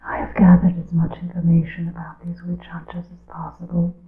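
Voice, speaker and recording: A woman voicing a hunted witch in a breathy ASMR whisper, solemn and deliberate, with archaic formal phrasing as she secretly warns her coven. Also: lowish voice, completely flat delivery, echoey room, phone-quality sound. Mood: grave, secretive. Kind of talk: ASMR whispered roleplay monologue.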